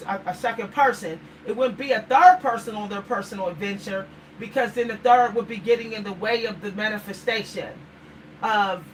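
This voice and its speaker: A middle-aged woman with a Pittsburgh accent, talking casually to her livestream viewers in a rambling way and often repeating words. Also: fairly high pitch. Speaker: vlogger. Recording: distant mic, faint background noise.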